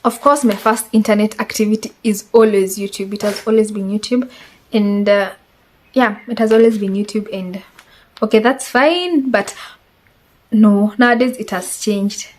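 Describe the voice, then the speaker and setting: A woman's voice: mid-range voice, moderate pace. A woman in her twenties with a Kenyan accent, a Swahili speaker, chatting casually through a tag-question vlog, rambling and hedging as she goes. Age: 20s. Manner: chatty and rambling, hedges with "I think". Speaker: vlogger.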